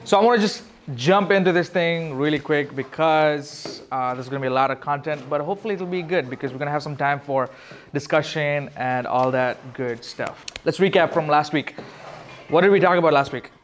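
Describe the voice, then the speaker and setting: A man's voice: fairly high pitch, brisk, slight background noise. A man from Sri Lanka teaching as a youth pastor in a casual, question-driven way, explaining things informally. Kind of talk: casual interactive teaching talk.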